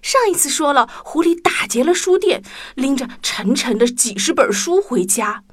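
High, very animated woman storyteller. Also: normal speed. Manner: expressive, addresses young listeners. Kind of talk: animated children's story narration. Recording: music bed behind the voice.

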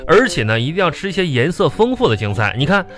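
A man's voice: on the high side, big pitch swings, quick pace, a bit bright, faint music behind. An actor playing a fussy, indulgent father in a radio comedy drama, coaxing and stammering as he repeats his words. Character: fussy, indulgent, flustered father of a little girl.

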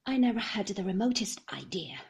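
A rattled, bitter blackmailer, jerky and mocking, his voice husky and gravelly, as a woman reading aloud puts him on. He talks with lively swings of pitch. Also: brisk, heavily acted. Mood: shaken. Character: shaken, sneering blackmailer man.

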